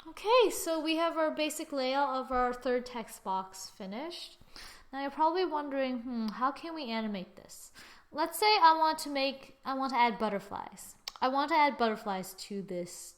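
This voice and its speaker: A woman hosting a casual drawing software tutorial, thinking aloud informally and often murmuring as she works. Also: sits high, expressive, normal speed. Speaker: tutorial host. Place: screen-recording voice-over.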